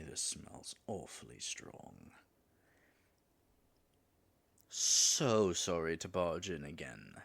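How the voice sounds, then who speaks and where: A man's voice: low-pitched, vocal fry, reverberant, full character voice. A man acting as an all-powerful, self-conscious dragon in disguise, muttering dry, sardonic asides to himself in a scripted audio roleplay. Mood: feigned politeness.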